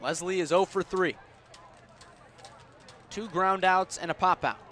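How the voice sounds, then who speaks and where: A man doing live radio play-by-play of baseball as the announcer, with a measured delivery that rises on the action. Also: high voice, bright, forceful tone. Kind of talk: live radio sports commentary. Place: outdoors at a baseball stadium. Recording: outdoor ballpark ambience noise behind.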